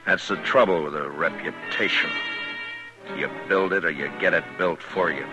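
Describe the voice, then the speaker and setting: An actor playing a steady, tough frontier marshal who also narrates, in a calm, clipped, commanding 1950s radio drama style. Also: male voice, low voice, normal speed, highs cut off. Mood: reflective. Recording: old radio broadcast with music.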